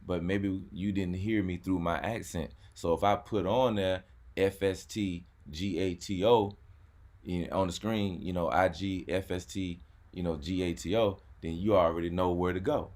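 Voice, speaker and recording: Male host, very low voice, relaxed chatty delivery peppered with "man". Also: normal speed. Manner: laid-back, repeats words, says "man" a lot. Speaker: YouTube car channel host. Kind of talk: casual chat.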